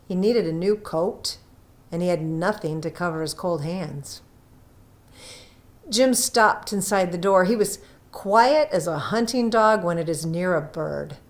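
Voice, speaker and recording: A woman reading a story aloud as scripted narration, with dramatic emphasis and drawn-out phrases. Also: fairly low pitch. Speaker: audiobook reader.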